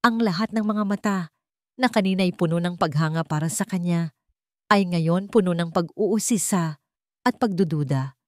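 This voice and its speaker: A woman's voice: on the low side, normal speed, no room sound, clean studio sound. A woman narrating a scripted story in a solemn, suspenseful, dramatic way.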